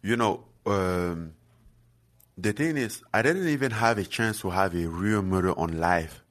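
Man from Cameroon, very deep voice, halting earnest interview talk. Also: lively intonation. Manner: halting, many fillers and restarts, earnest. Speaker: guest fighter. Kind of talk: casual interview chat.

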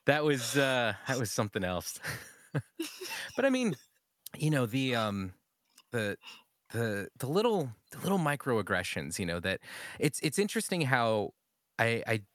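Man, conversational, pitch swings widely, laughs often, dry close sound. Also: measured pace, pauses often, no echo. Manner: animated storytelling with frequent laughs. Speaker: pastor. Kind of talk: casual conversation.

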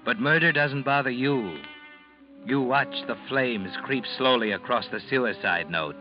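An actor playing an omniscient, sinister narrator in an old radio thriller, speaking in an ominous, accusing way. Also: male voice, mid-range voice, measured pace, close to an everyday voice. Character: omniscient sinister male narrator addressing the guilty woman. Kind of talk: 1940s radio thriller narration, second person. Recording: muffled vintage radio recording, loud music. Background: dramatic musical score.

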